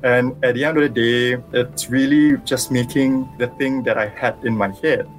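A man from Singapore with a Singaporean accent, a visual artist calling into a radio interview over the phone, explaining his work in a thoughtful, measured way. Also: medium pitch, steady tone, faint music behind, poor audio. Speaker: visual artist and sculptor guest. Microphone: phone line.

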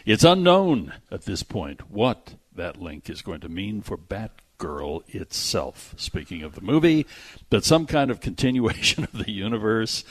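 A male radio host speaks in a low register with very lively intonation. His voice is a little rough and the sound is close and dry. He chats casually, with a jokey, exaggerating bent. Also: no room sound. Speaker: movie radio show host. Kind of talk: casual movie news chat. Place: radio studio. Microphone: close studio microphone.

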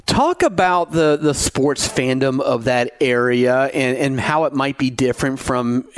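A man's voice: mid-range voice, a little slow, dry recording. American man in his forties, close dry sound. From Northeast Ohio, United States. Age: 40s. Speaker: podcast host, high school sports broadcaster. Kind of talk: conversational podcast introduction and interview.

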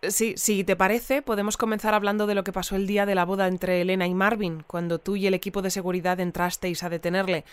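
An actress plays a composed female radio newsreader with an accent from Spain. Her voice is very clear and smooth, recorded dry. She reads and interviews in a formal, orderly way, as in a scripted audio drama bulletin. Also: medium pitch, level tone, fast talker, few pauses, dry recording, close to an everyday voice. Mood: attentive, businesslike. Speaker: news bulletin presenter.

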